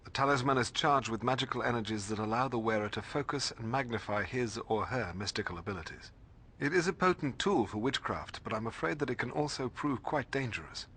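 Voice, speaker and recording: An actor playing a bookish, middle-aged British librarian mentor in a video game, formal and precise, hesitating when puzzled. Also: male voice, medium pitch, fairly bright tone, a little hiss or hum.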